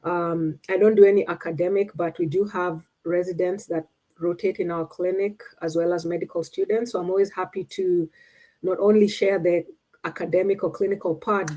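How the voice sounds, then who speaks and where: Mellow-voiced woman from Kenya on a video call. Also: on the low side. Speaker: panelist, endocrinologist. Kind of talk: video call panel introduction.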